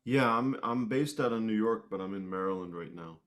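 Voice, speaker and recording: A man chatting casually as an interview guest, with hesitant, halting phrasing. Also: lowish voice, a bit bright. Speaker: guest, musician and martial arts instructor.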